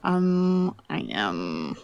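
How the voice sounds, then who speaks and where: A woman's voice: fairly low pitch, sing-song, very slow, darkish tone, clean tone, muffled recording, exaggerated voice. A woman playing a friendly, chatty character in a roleplay game, who talks casually and politely. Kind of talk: improvised roleplay chat.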